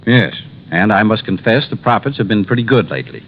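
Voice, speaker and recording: An actor playing an anxious, self-important company president in 1950s radio drama, formal, agitated and halting. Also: male voice, lowish voice, very animated. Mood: defensive. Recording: muffled, noisy old radio recording.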